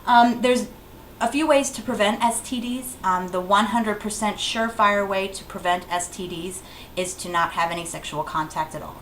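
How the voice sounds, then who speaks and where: A woman's voice: mid-range voice, moderate pace, a bit bright. A woman giving an informal, plainly instructive health presentation as a clinic educator, repeating key advice. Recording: roomy echo, faint background noise.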